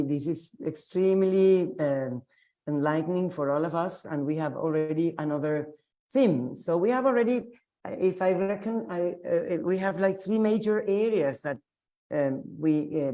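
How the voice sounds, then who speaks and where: A woman hosts an online panel over a video call. Her voice is low and mellow, and she speaks graciously, hesitating with fillers as she brings in speakers. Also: moderate pace. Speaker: panel moderator.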